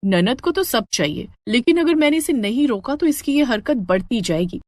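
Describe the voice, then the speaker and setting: A woman's voice: on the high side, lively intonation, moderate pace, noisy background, lightly acted. A woman voicing a sweet but firm young bride in a family story.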